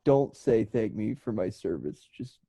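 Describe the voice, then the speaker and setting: A man's voice: on the low side, expressive, a little slow, mellow, husky, no room sound. A man co-hosting a comedy podcast, telling rambling, joking anecdotes in casual, profane banter. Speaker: podcast co-host.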